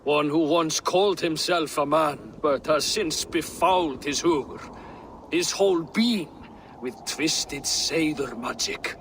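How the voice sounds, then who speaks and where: An actor playing a grim, superstitious, vengeful hunter in a video game, speaking with dramatic intensity. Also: male voice, a little high, slow, a bit bright. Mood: bitter. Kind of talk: video game character acting. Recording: clear background noise and ambience.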